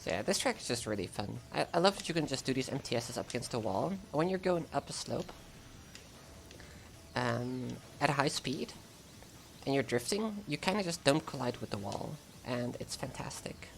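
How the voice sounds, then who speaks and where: A woman's voice: a bass voice, lively intonation, normal speed, creaky, slight background noise. A German woman with an American-sounding English accent, chatting casually and laughing often while commentating a speedrun of her game live.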